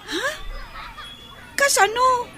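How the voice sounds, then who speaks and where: Young daughter role woman acting, family radio play: very high, slow, childlike, eager. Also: pushed and bright, slight background noise, exaggerated voice. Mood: curious, uncertain. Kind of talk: audio drama dialogue.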